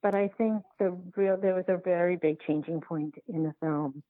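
A woman answering interview questions as a filmmaker, in a halting, conversational way with repeated words. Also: lowish voice, dry recording, narrow, tinny audio. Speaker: documentary filmmaker, podcast guest.

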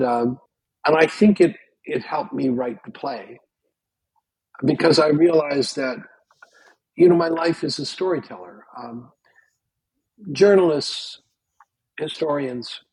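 An older man from Canada, whose first language was Yiddish, telling stories in a casual podcast chat with lively restarts. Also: medium pitch, slightly rough, no room sound, rough recording. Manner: animated storyteller with frequent restarts. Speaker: guest.

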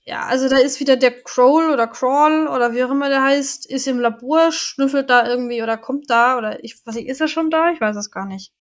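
A woman with a fairly high voice, talking on a podcast about an audio drama. She thinks aloud in loose, rambling sentences full of fillers. The tone stays easy and conversational. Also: fairly quick, few pauses. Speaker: podcast co-host. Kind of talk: casual audio drama review chat.

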